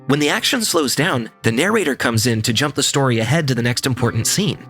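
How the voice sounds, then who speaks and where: Stern, long-suffering announcer: low female voice, varied inflection, curt and dry, audio-comedy delivery. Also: expressive, fairly quick, slightly rough, faint music behind, full character voice. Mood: curt, exasperated.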